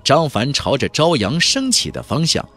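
A man's voice: medium pitch, wildly swooping pitch, moderate pace, a bit bright. A man narrating a fantasy novel as an audiobook, with a theatrical, emphatic storytelling delivery. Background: background music.

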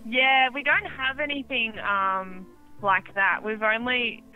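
A woman's voice: a little high, fairly even intonation, slow, over loud music. A woman working in a store, giving hesitant, casual customer service over the telephone. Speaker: store employee on phone. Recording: band-limited telephone line.

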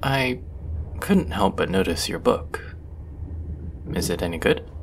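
A man playing a gentle, charming stranger on a train in a soft ASMR hypnosis roleplay, soothing and often drifting into breathy whispers. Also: big pitch swings, slow pace, exaggerated voice. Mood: friendly, charming. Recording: loud train rumble behind the voice. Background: train ambience sound effects.

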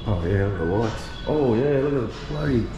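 A man's voice: medium pitch, lively intonation, brisk, muffled recording. Chatty man vlogging at night. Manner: chatty, exclaiming "far out" and "whoa". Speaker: travel vlogger. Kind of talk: casual vlog narration. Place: outdoors at night and in a rooftop bar. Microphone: action camera held at arm's length. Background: loud music.

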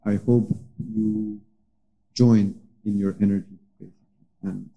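A man in his thirties from Colombia, speaking into a stand mic in a small cafe as he introduces a livestream jam, earnest and pausing often to find his words. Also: fairly low pitch, sing-song, gravelly, muffled recording, soft background music. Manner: earnest, searching for words with many pauses. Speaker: musician hosting a livestream jam. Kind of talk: casual spoken introduction to a livestream. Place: small cafe with stage, indoors. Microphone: vocal mic on a stand.

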